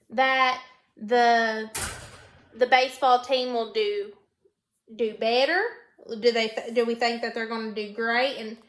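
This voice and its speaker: A woman teacher reading a storybook aloud in an instructional way, pausing to put questions to her pupil and repeat answers. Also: fairly high pitch, a bit bright. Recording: echoey room.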